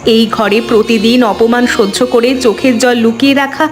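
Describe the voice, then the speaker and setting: A woman playing a resolute, sorrowful and protective eldest daughter-in-law in a cartoon drama, speaking gravely and reassuringly. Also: on the high side, steady tone, moderate pace, natural voice. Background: music.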